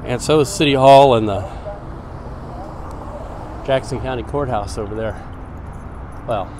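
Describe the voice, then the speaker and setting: A man casually narrating as he walks through city streets, pointing out sights in a relaxed, chatty way. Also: medium pitch, a little slow. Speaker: vlogger on a city walk. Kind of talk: casual walking tour commentary. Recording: outdoor street noise on camera mic. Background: traffic and city noise.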